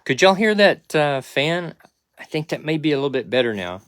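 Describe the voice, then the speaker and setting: A man's voice: on the high side, big pitch swings, normal speed, a bit bright. A man chatting casually and easygoing, thinking aloud as he gives a behind-the-scenes vlog. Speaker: comedy podcast creator.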